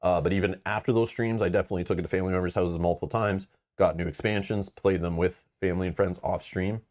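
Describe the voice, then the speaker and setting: A man with a notably deep voice chats loosely to his viewers, wandering between thoughts and padding with fillers. His tone stays casual and frank. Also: fairly even intonation, fast talker, darkish tone. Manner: rambling, filler-heavy, frank. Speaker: board game channel host. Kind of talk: casual live-stream chat.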